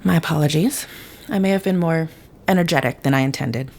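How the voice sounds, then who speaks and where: A woman playing a calm, analytical companion in an audio drama, speaking precisely and formally without contractions. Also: low-pitched, a little fast. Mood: reserved, firm. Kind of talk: scripted audio drama acting.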